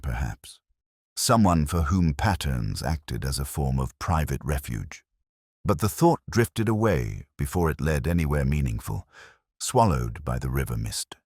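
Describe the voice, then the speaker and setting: A man narrates a scripted detective drama as the inspector himself. His voice is exceptionally deep, yet it moves expressively up and down. The delivery is reflective and literary, recorded very dry and close. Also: a little mellow, no echo. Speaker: narrator of a detective mystery, speaking in the first person as the inspector. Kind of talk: scripted first-person audio drama narration.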